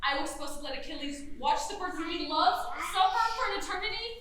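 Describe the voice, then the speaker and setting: A teenage girl playing an earnest, defensive young goddess of mischief in a live high school stage play. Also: animated, fast talker, a bit bright, heavy reverb, recorded from a distance, a little hiss or hum.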